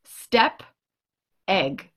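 A woman hosting a casual conversation, playfully quizzing her guests. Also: very slow. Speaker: podcast host. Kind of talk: casual interview chat.

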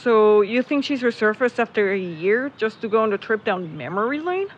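A woman plays an easygoing, cheeky young companion in an audio drama. Her fairly high voice is casual and offhand. The recording is slightly dull, with faint vehicle noise behind. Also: animated, normal speed, close to an everyday voice. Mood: doubtful. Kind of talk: naturalistic audio drama dialogue.

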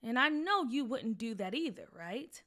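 A woman's voice: on the high side, sing-song, moderate pace, bright, forceful tone, full character voice. A woman playing a stern, protective mom. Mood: probing. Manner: firm, scolding.

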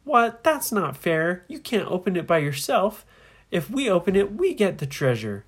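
A woman's voice: sits low, very animated, slow, darkish tone, husky, heavily acted. A woman voicing plucky little children on an adventure in a story, speaking plainly and earnestly. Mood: bargaining.

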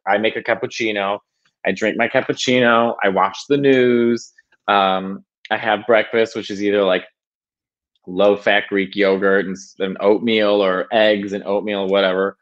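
A man as a podcast guest, talking casually in a halting, self-conscious way with many restarts. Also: fairly low pitch, a bit bright, muffled recording. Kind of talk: casual interview chat.

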